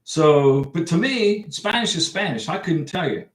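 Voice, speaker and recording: Guy hosting a livestream, talks kinda high, rambles a lot, roomy echo. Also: lively intonation, unhurried. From United States. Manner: chatty, rambling, reads viewer comments aloud. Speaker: livestream host giving visa advice. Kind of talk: casual livestream chat. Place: home room.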